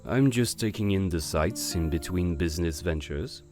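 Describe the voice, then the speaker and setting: A man playing a suave, silver-tongued gentleman con artist with a flaming head in an audio drama, courtly and sprinkling in French phrases. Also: low-pitched, lively intonation, normal speed, faint music behind. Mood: courteous, charming.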